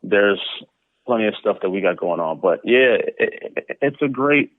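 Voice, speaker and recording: A man's voice: lowish voice, expressive, normal speed, no room sound. A man giving casual, conversational answers as an interview guest over a remote call. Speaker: interview guest, comic book creator. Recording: narrow, tinny phone-like line.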